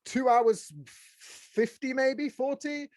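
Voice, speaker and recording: A man hosting a podcast as a casual, blokey, excitable chat with plenty of swearing. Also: very high-pitched, slow, no echo, studio quality. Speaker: podcast host. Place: small studio room.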